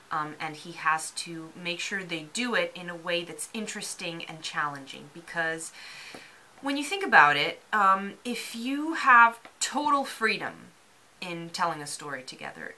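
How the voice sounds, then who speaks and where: A woman from Serbia who works as an actor and bartender, explaining her view thoughtfully in an interview and pausing often with ums. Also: fairly low pitch, pushed and bright, slight background noise, rough recording. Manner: thoughtful, searching, frequent ums.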